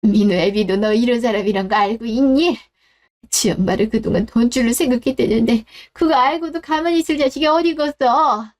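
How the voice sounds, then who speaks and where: A woman's voice: fairly high pitch, fairly quick, darkish tone, natural voice. Indignant older mother-in-law, acted by a woman, scolding bitterly. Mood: bitter, accusing. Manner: scolding, aggrieved, emphatic. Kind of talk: radio story drama.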